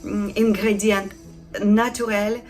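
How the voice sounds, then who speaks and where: A woman from Ukraine with a Ukrainian accent, a non-native speaker introducing her craft channel in a halting way, often searching for words. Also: medium pitch, level tone, darkish tone, soft background music. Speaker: craft channel host, felting artisan. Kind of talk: casual introduction to camera.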